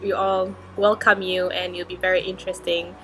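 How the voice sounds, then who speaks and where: Bright-voiced young woman vlogging. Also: fairly low pitch, flat delivery, normal speed, faint music behind, rough recording. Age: 20s. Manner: chatty and upbeat, apologetic, with frequent giggles. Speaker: book vlogger. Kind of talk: casual Q&A vlog.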